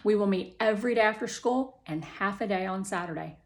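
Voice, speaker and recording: A woman reading the part of a brisk, competitive male teacher in a children's story, firm and matter-of-fact. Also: medium pitch, lightly acted. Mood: businesslike. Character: brisk, competitive male schoolteacher coaching a quiz team.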